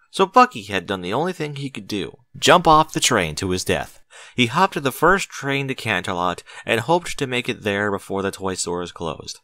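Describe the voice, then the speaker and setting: A man reading a story as an audiobook narrator, with measured, theatrical phrasing and strong emphasis. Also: lowish voice, a bit bright, no room sound. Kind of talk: dramatic scripted story narration.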